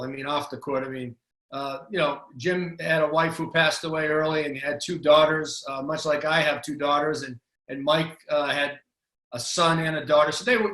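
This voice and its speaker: A man's voice: on the high side, pushed and bright, reverberant, low-quality recording. A middle-aged man with a Boston accent, a basketball coach telling his career story in a casual interview, with frequent restarts. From Boston area, USA.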